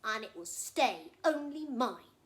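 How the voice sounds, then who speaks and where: A woman voicing a selfish, grumpy male crocodile in a children's story, shouting and stretching out her words. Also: a little high, expressive. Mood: possessive, shouting. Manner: shouting, possessive, drawn-out words.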